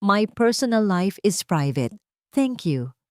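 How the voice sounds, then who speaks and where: A woman playing a composed, wronged wife in a drama story, calm and professional, with a Filipino accent. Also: mid-range voice, slow, no room sound, clean studio sound, voice pushed up. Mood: calm, guarded.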